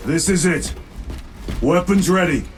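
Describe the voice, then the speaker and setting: An actor playing a stern veteran space marine lieutenant in a video game, giving terse, grave military orders as squad leader. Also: male voice, a little high, lively intonation, a little slow, a little hiss or hum. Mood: grave command.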